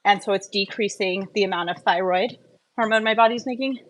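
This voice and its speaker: A woman's voice: medium pitch, fairly quick, rough recording. Woman just rambling to her followers, blunt opinions, casual chat, bit of background noise. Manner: candid, opinionated, rambling with tangents. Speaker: wellness vlogger.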